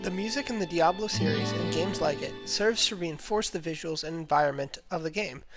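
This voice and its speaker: A man's voice: on the high side, a bit bright. A young man narrating a scripted video essay as a student presenting an analysis, reading steadily from a prepared text. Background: music playing under the voice.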